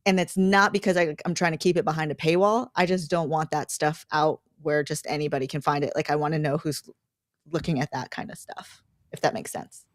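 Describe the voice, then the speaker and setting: A woman's voice: deep voice, fairly even intonation, a little fast, no echo, clean studio sound. A woman content creator chatting informally and conversationally as a livestream guest. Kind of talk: casual livestream chat.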